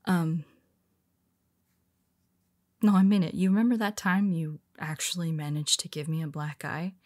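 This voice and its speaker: A woman's voice: low voice, fairly even intonation, measured pace, a little mellow. A woman playing a powerful, blunt but secretly vulnerable superhero in an intimate audio roleplay, speaking conversationally with hesitant asides. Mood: hesitant, confiding.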